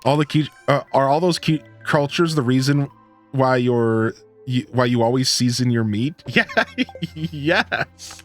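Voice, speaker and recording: A man's voice: mid-range voice, measured pace, soft background music. A man from Ohio with a Midwestern twang, chatting casually with live-stream viewers in a rambling, laid-back way. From Northeast Ohio, USA.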